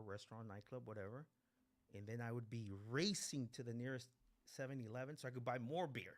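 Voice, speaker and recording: A man in his forties from Mexico, raised in East Los Angeles, speaking candidly and profanely as he gives personal testimony at a meeting. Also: medium pitch. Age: 40s. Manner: blunt, profane, repeats phrases for emphasis. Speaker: guest speaker at a recovery meeting.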